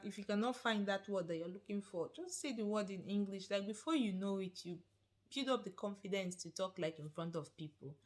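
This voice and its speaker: A woman in her thirties from Nigeria with a Nigerian accent, chatting casually in an encouraging, animated vlog and repeating phrases for emphasis. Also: mid-range voice. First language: not Swedish. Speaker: vlogger.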